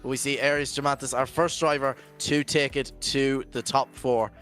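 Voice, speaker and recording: A Scottish man commentating a virtual drift event in a jokey, rambling way, his voice worn and going hoarse. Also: medium pitch, flat delivery, moderate pace, a bit bright, soft background music. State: losing his voice. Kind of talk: live esports commentary.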